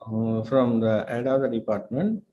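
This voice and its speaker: A man's voice: mid-range voice, muffled recording. A man moderating a webinar, relaying a question in a hesitant way, with lots of fillers. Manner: hesitant, halting with many fillers. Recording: distant voice with faint noise.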